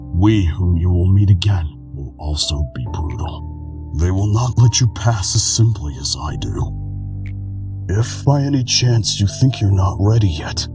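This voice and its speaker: A man plays a weary old keeper of memories from a video game, with a very low voice. He is calm, solemn and melancholic, delivering a measured dramatic monologue over clearly audible music. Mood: somber, foreboding. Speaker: amateur voice actor in a game fandub.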